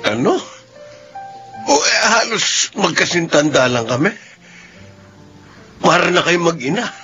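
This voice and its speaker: A man's voice: fairly high pitch, big pitch swings, measured pace, sharp tone, exaggerated voice. A man playing an inquisitive middle-aged father in a radio drama, probing and exclamatory, teasing and incredulous. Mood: surprised, incredulous. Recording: dull lo-fi audio, faint music behind.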